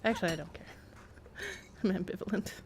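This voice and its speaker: A woman's voice: on the low side, fairly quick, noisy background. A woman chatting casually and thinking aloud as she livestreams a video game. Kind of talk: casual game stream commentary.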